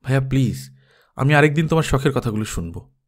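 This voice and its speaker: A man's voice: medium pitch, animated, brisk, close to an everyday voice. A man voicing an irritated, embarrassed younger brother in an audio story, curt and exasperated. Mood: irritated, weary.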